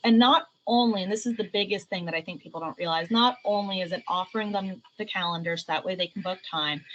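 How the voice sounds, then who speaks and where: A woman's voice: on the low side, fairly bright tone. Casual woman on a noisy call. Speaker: panel guest. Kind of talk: casual chat. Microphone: video call microphone. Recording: noisy, dull video-call audio.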